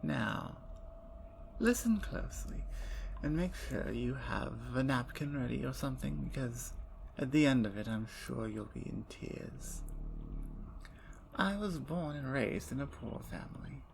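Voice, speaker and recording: A woman voicing a playful, talkative female vampire in an ASMR roleplay, teasing and dropping into soft whispers. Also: rumbling low, animated, unhurried, vocal fry, a little hiss or hum, exaggerated voice.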